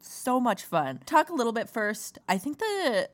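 A woman hosting a music podcast, bubbly and gushing with excitement as she interviews a band. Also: on the high side, unhurried. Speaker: podcast host. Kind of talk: enthusiastic interview chat.

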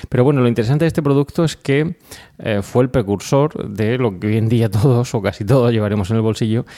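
A man's voice: mid-range voice, fast, dark tone. A man with a Spanish accent from Spain hosting a casual explanatory podcast in a conversational, hesitant way with frequent filler words. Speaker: podcast host.

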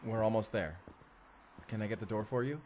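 A man's voice: lowish voice, fairly quick, a little mellow, slight background noise, natural voice. A man playing an affectionate, teasing husband from the future in an intimate audio roleplay. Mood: tender, teasing.

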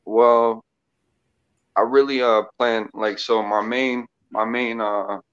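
A man introducing himself as the social media person, halting, with many fillers and restarts. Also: medium pitch, fairly even intonation, a bit bright, smooth. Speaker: social media team member. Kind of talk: casual self-introduction. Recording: dull lo-fi audio.

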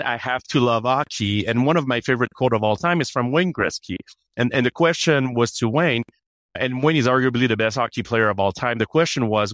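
A man's voice: mid-range voice, a bit bright, dry recording. A man from Canada, answering questions as a guest in a business interview, earnest and often repeating words as he restarts. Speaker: guest, chief people officer.

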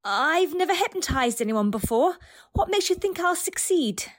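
Lady playing a polite curious ex-AI woman in an audio drama, high lilting voice, kinda formal. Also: sing-song, moderate pace. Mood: curious, questioning. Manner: courteous, formal. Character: polite, curious female former AI turned human.

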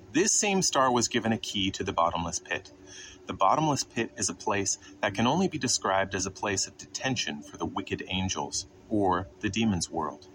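A man with a fairly low voice delivers a scripted scripture lesson. He is didactic, setting out questions and answering them himself. There is clear background noise behind his voice. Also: fairly bright tone, rough recording. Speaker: Bible teacher narrating a podcast.

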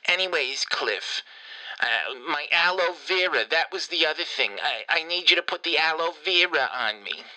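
A man's voice: fairly high pitch, lively intonation, moderate pace, pushed and bright, poor audio. A man playing a rambling vain grandma. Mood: indignant. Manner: rambling, stammering restarts, exasperated cursing. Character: rambling vain elderly grandmother, sunburned, bossy. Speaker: comedian doing a character voicemail. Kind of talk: improvised comedic phone message.

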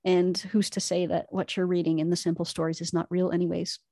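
A woman with a fairly low voice co-hosts an educational podcast. She explains teaching methods in an earnest, methodical way. Her voice is close and dry, with no room sound. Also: flat delivery, brisk, mellow, no room sound. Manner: earnest, explanatory, building arguments point by point. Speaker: podcast co-host, Latin teacher. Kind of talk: enthusiastic educational podcast discussion.

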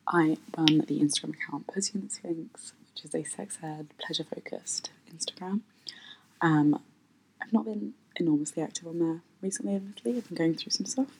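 A woman's voice: deep voice, dark tone, slight background noise, poor audio. A woman hosting her own podcast in an unscripted, hesitant chat, with lots of ums and light laughs. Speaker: podcast host.

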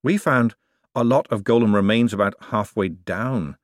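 Casting: self-assured, stubborn storybook woman played by a male reader, explanatory, lively, raised pitch. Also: moderate pace, no echo, clean studio sound. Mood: careful, defensive. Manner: explanatory, insistent.

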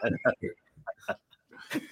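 Slow-talking male podcast host. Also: a bit bright. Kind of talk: casual interview chat.

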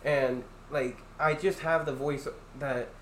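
A young man with a loud, deep voice that carries, chatting casually on a podcast at home and rambling through restarts. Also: fairly even intonation, slow. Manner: rambling, many restarts and fillers. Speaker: podcast host. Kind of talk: casual chat. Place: echoey room at home. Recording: echoey room, faint ambient noise.

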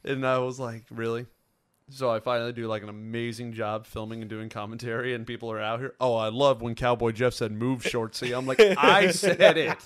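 A man in his twenties hosts a casual talk show with a smooth, clear voice. He tells stories in an animated, jokey way, and the sound is close and dry. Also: medium pitch, fairly quick, dry recording. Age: 20s. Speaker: host of a fan sports show. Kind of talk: casual chat.

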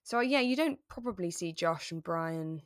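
Woman chatting casually on a podcast, lowish voice, no room echo. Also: expressive, normal speed, studio quality. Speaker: podcast co-host. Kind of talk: relaxed casual chat about a radio drama.

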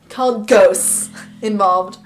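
A young woman co-hosting a podcast, excitedly retelling a story with lots of "like" and laughter. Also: medium pitch, drawn-out words, a little hiss or hum. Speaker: podcast co-host. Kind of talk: casual chat.

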